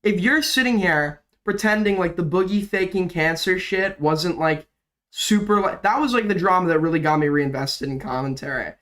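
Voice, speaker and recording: A man's voice: high-pitched, moderate pace, a bit bright. A young man doing casual, rambling commentary on a livestream, sick and coughing, his voice cracking now and then, with lots of fillers and swearing. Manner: rambling, profane, filler-heavy. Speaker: drama commentary streamer.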